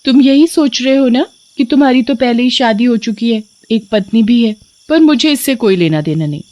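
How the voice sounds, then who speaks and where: Woman doing a witch, high and coaxing, cruel underneath, storybook horror. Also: animated, moderate pace, darkish tone, highs cut off, lightly acted. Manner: coaxing, then menacing. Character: seductive female witch, cruel and bloodthirsty.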